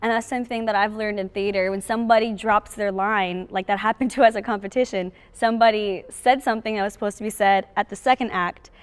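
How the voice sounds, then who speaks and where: A woman's voice: medium pitch, fairly even intonation, quick pace, few pauses, clean tone. A teenage young woman, a student actor, explaining things casually in an interview. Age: teens.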